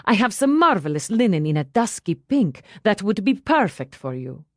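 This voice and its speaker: A woman voicing a big, gentle, adoring man who speaks sweetly and earnestly, in an audiobook. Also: mid-range voice, big pitch swings, normal speed, dry recording, studio quality, close to an everyday voice.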